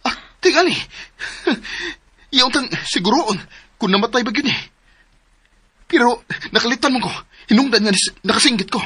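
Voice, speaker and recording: A man with a Cebuano accent voicing an emphatic young man in a radio drama, lovesick and possessive, with a husky voice. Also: very high-pitched, animated, normal speed, long pauses, bright, forceful tone, heavily acted. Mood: intense. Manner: emphatic, swings between pleading and bluster.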